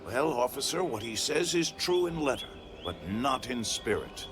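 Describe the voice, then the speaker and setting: A man playing an effusive, kind-hearted gentleman treasure hunter in a theatrical game cutscene. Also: mid-range voice, expressive, normal speed, music in the background, natural voice. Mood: earnest.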